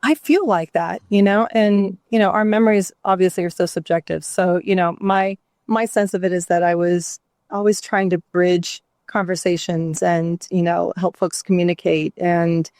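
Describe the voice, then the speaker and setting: Woman, middle-aged, from new mexico, reflective interview guest, gentle pauses, dry recording. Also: fairly low pitch, fairly even intonation, no echo. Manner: reflective, gentle, many ums. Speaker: guest, somatic therapist and author. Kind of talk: candid interview conversation.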